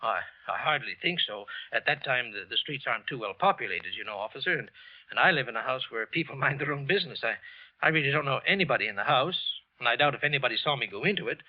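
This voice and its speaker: An actor playing a nervous, bitter office clerk hiding a murder in an old-time radio drama, with halting, evasive delivery. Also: male voice, medium pitch, lively intonation, close to an everyday voice. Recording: muffled old radio broadcast recording.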